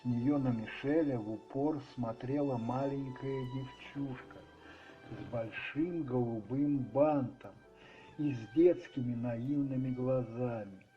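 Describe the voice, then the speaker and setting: A man narrating a radio play as a storyteller, expressive and reflective, with pauses and musing asides. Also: mid-range voice. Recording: slightly muffled recording with music underneath.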